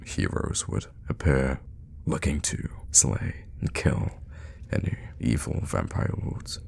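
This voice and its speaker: A man playing a suave, teasing, sadistic vampire lord in an ASMR roleplay, speaking in an unhurried, theatrical and menacing way with a gravelly voice. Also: expressive, darkish tone, a little hiss or hum, heavily acted. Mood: wry, wistful. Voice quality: very deep, gravelly. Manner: unhurried, theatrical, with drops into whispers. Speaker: voice actor in an audio roleplay.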